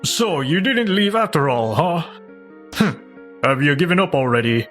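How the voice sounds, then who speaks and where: A man's voice: a little high, animated, normal speed, a bit bright, faint music behind. A man playing a controlling, scornful older husband in a manga dub, blustering as he speaks. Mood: haughty, demanding. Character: controlling, arrogant older husband, a retired teacher. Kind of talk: acted manga dub dialogue.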